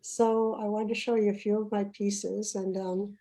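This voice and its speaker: A woman's voice: mid-range voice, flat delivery, normal speed, dark tone, low-quality recording. A middle-aged woman from Uganda hosting an online panel, chatty and often trailing off mid-sentence. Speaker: panel host. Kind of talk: casual online panel talk. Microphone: video call.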